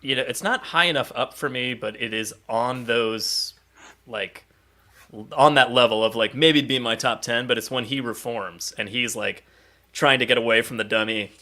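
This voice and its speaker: A man's voice: medium pitch, normal speed, bright, forceful tone. A man chatting casually and enthusiastically as a podcast co-host, acting out bits as he retells stories. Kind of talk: casual enthusiastic pop-culture chat.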